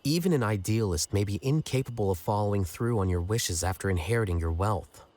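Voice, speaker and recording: An actor playing a composed, coolly challenging intellectual in a game, with a formal, probing delivery. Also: male voice, fairly low pitch, a little fast, darkish tone, soft background music, lightly acted. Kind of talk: dramatic game voice acting.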